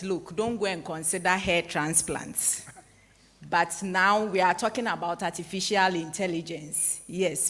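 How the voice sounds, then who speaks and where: Slow-speaking woman in an echoey hall. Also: on the low side, a bit bright. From Ghana. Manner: measured, formal, appreciative summing up. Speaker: lecture moderator. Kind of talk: public lecture moderation. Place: large lecture hall. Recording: echoey hall with long reverb.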